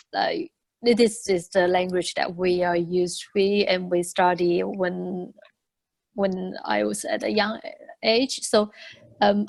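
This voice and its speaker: A woman whose first language is Mandarin, speaking on a conference panel in a halting way with restarts. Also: medium pitch, gravelly, no echo. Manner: halting, restarts with light laughs.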